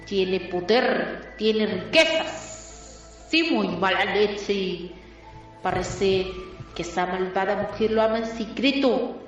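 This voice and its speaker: Solemn mystic seer, slow prophetic delivery, cavernous echo, music behind, radio soap parody. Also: female voice, medium pitch, dull audio. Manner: slow, portentous, dramatic delivery. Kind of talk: absurd parody radio soap opera acting. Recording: heavily echoing, distant, music behind.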